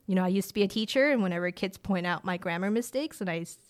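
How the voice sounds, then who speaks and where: A woman in her late twenties, raised in rural China with a village tongue as her first language, talks as an interview guest in a relaxed, chatty way full of "like" fillers. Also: mid-range voice, fast talker. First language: a Chinese village language. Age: late 20s. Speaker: guest, director of family empowerment. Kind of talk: casual interview conversation.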